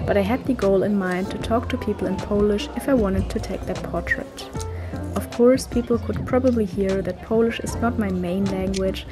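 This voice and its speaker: A woman in her thirties from Germany, with a German accent, talking in a casual vlog style as a street photographer. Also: mid-range voice. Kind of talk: casual vlog talk and narration.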